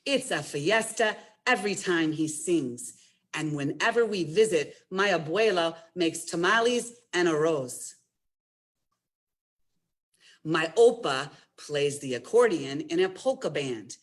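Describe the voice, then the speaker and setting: Female children's librarian, voice a touch low, encouraging storytime host and picture book reader. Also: animated, a little slow. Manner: addresses young children directly, encouraging.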